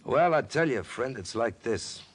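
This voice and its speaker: An actor playing a bossy, edgy gang leader in an old radio crime drama, terse in manner. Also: male voice, medium pitch, animated, measured pace. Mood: coolly bossy. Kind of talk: 1940s radio crime acting.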